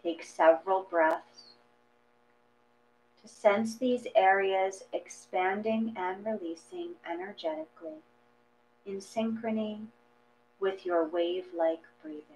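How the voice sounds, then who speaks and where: Slow-paced woman guiding a meditation. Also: medium pitch, expressive. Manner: gentle, measured, with long pauses between phrases. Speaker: guided meditation reader. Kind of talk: slow guided meditation, read from a script. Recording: dull lo-fi audio with mains hum.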